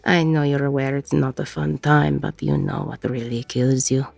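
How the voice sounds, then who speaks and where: A woman playing a weary, regretful ex-convict bar owner in an intimate audio roleplay, with a husky, gravelly voice and a reflective delivery full of pauses. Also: very deep voice, flat delivery, darkish tone, no room sound, soft background music, heavily acted. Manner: slow, reflective, pausing mid-sentence.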